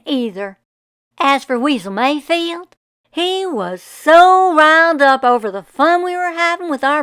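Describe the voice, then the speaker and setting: A woman voicing a folksy, wonderstruck farmer who recalls a magical childhood summer in expressive storybook narration. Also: a little high, smooth, dry recording, studio quality. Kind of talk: storybook first-person narration.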